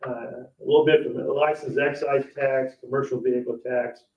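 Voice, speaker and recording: A man with a medium-pitched voice presents finances at a public meeting. He goes haltingly and plainly, pausing to check that people follow. He sounds distant from the microphone, in a very echoey room. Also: fairly even intonation, highs cut off. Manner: halting, plain-spoken, checks understanding with "okay?". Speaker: parks board official presenting the budget.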